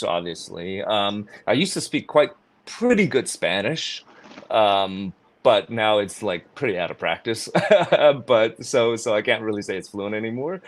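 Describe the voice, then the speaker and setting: A man from China talks in a casual interview, his pitch low and his voice rising and falling a lot. He laughs easily and restarts sentences as he goes. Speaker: guest actor.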